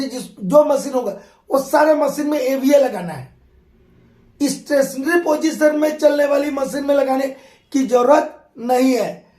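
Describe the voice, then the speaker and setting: A hoarse man, a mining exam tutor talking through old test questions in a conversational way and repeating key points. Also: very high-pitched, a little mellow, roomy sound. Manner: conversational teacher, addresses students fondly, repeats key points. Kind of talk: explaining exam questions in an online class.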